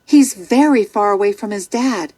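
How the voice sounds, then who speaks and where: A woman narrating a scripted children's story in an expressive, dramatic way. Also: mid-range voice, normal speed, no echo.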